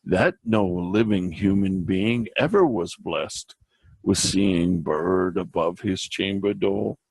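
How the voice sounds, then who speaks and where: A man giving a dramatic recitation of a poem, theatrical and halting, with occasional stumbles. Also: fairly low pitch, vocal fry, darkish tone, husky, no room sound, rough recording. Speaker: reader.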